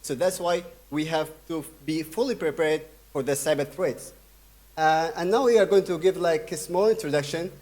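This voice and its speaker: Young male keynote speaker from Sudan, slightly high voice, hesitant delivery full of fillers. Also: darkish tone. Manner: halting, many fillers and restarts. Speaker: conference speaker. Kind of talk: conference keynote talk.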